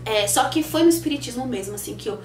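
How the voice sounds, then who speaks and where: A woman's voice: on the high side, fast, echoey room. A woman, chatting to viewers about her life as a channel host. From Salvador, Bahia, Brazil. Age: 20s. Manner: chatty, animated, addresses viewers directly. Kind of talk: casual personal storytelling to camera.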